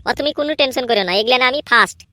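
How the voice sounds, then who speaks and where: Woman voicing brash, high-pitched little boy. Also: racing, sharp tone, dry recording. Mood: boastful. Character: brash, bragging young boy in comedy cartoon. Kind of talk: comedy cartoon voice acting.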